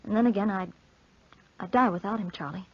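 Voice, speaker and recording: A woman's voice: mid-range voice, a little fast, slightly rough. An actress playing a tormented, tender young woman in love, earnest and melodramatic in 1940s radio style. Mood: anguished, tender. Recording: muffled 1940s radio broadcast recording.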